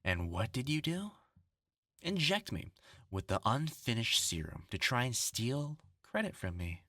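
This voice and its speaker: A gloating, vengeful superhuman scientist played by a voice actor in a horror audio drama. The delivery is slow and theatrical, swinging widely in pitch with mocking menace. The voice is bright and sharp, recorded very dry and close. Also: sing-song, dry recording, heavily acted. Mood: scornful, bitter.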